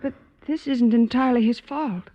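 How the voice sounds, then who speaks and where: An actress playing an anxious sister who pleads hesitantly and earnestly in an old-time radio drama. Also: female voice, on the high side, moderate pace, dark tone, close to an everyday voice. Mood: anxious pleading. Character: anxious, pleading older sister of wayward boy. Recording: dull, lo-fi old radio recording.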